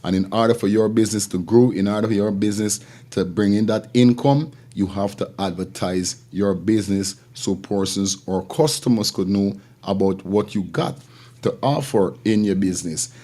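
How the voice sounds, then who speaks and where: Low-voiced, mellow Caribbean male host. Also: normal speed. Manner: informal, repetitive, slangy patter. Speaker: live show host. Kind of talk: casual live-stream talk with shout-outs.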